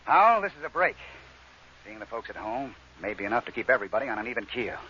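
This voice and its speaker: An actor playing a commanding, curt spaceship captain in an old science fiction radio drama, speaking in a clipped, authoritative way. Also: male voice, medium pitch, very animated, fast, breathy edge. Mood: steady, relieved. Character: hard-pressed, commanding male spaceship captain, curt and gruff. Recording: dull old radio recording, faint noise.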